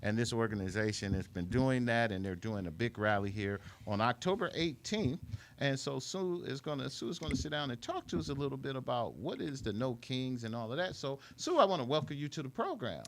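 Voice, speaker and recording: A man's voice: medium pitch, lively intonation, a little fast, a little hiss or hum. A man hosting a casual radio talk show in an informal, colloquial and playful way.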